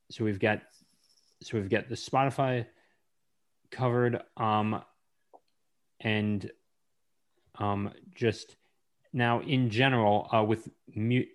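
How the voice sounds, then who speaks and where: A man hosting a podcast interview, speaking haltingly with many pauses and fillers. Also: fairly low pitch, gravelly. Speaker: podcast host. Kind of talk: casual interview chat.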